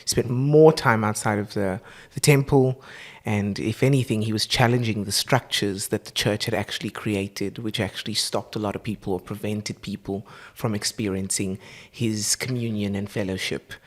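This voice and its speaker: This South African man speaks in a somewhat low register, earnest and heartfelt. He talks like a guest telling his story on a podcast, and his phrasing leans warm and spiritual. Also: fairly even intonation, normal speed, few pauses.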